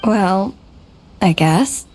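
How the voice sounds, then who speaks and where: A woman's voice: mid-range voice, expressive, extremely slow, a little mellow, a little hiss or hum. Hesitant, self-doubting young woman. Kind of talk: video game voice acting.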